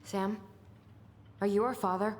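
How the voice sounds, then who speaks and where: A woman's voice: medium pitch, fairly even intonation, unhurried, a little hiss or hum, close to an everyday voice. An actress playing a determined, wounded young woman searching for answers in a video game, speaking earnestly and directly. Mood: tentative, concerned. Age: young adult. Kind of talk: naturalistic video game acting.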